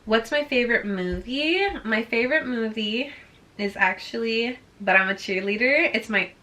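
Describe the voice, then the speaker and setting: A woman's voice: a little high, moderate pace, pushed and bright. A woman of about twenty whose first language is Swedish, chatting bubbly and casual through a Q&A with her viewers. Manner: bubbly, chatty, heavy use of "like". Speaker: vlogger.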